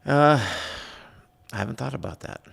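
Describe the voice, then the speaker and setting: Male interview guest, middle-aged, thick vocal fry, plainspoken recollections, recorded with long room reverb. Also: medium pitch, normal speed. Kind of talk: casual interview answers, personal recollection.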